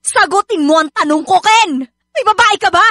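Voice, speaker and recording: A woman's voice: very high-pitched, lively intonation, normal speed, sharp tone, no room sound, studio quality, exaggerated voice. A woman playing a hardworking, nagging and hurt wife and mother in a Filipino radio drama, emotional and scolding. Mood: suspicious, confronting. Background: background music.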